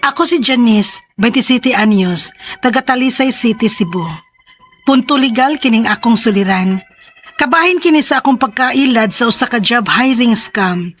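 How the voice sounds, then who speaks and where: A woman's voice: on the high side, moderate pace, lightly acted. An actress in her twenties with a Cebuano Filipino accent, playing a hopeful, trusting young mother in a radio drama. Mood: earnest narrating. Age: 20s.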